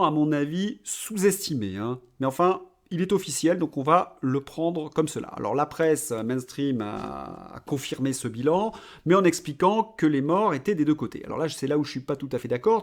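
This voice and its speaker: Man, commentary monologue, somewhat high voice, insistent, explaining, stop-start phrasing. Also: darkish tone. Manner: insistent, explaining, many "hein" tags and restarts. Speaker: political commentator. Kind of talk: spoken monologue addressed to viewers.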